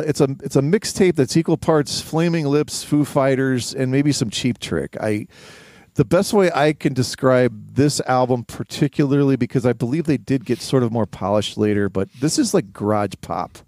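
A man co-hosting a podcast, giving casual album commentary in a halting but enthusiastic manner. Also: medium pitch, a little mellow, no room sound. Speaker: podcast co-host.

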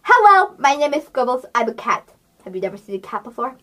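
A woman's voice: fairly high pitch, sing-song, fairly quick, a bit bright. A woman voicing a naive, chatty talking cat in a tabletop game, childlike, eager and guileless.

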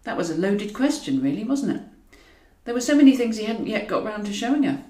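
Female storyteller, wry and animated audiobook read, echoey room sound. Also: medium pitch, fairly quick. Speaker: author reading own novel. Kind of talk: scripted audiobook narration of comic fiction. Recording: roomy echo, distant from mic.

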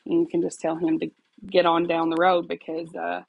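A woman talks to her podcast listeners from home, a little low in pitch and sounding stuffed up from her sinuses. She is chatty and apologetic, laughs easily and keeps checking in with an "okay?". The recording is close and dry. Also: monotone, moderate pace, creaky, no echo, rough recording. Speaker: podcast host. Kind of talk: casual faith podcast chat with prayer. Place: at home alone.

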